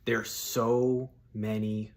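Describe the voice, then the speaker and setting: Guy in his 30s w a lowish voice, just talking to viewers, blunt n informal. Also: flat delivery, drawn-out words, a little mellow. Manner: informal, blunt, swearing, buddy-like address. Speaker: solo video host giving personal opinions. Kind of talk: casual chat to viewers.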